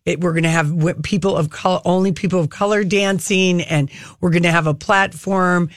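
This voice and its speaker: A woman's voice: on the low side, fairly even intonation, normal speed, no room sound, clean studio sound. An enthusiastic woman hosting a casual talk show, chatting about television.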